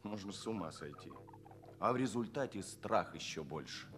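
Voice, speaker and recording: A man's voice: fairly low pitch, animated, moderate pace, slight background noise, natural voice. An actor playing a jaded, irritable alien townsman who grumbles and exclaims in an audio play. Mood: weary complaint. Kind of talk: theatrical audio play dialogue.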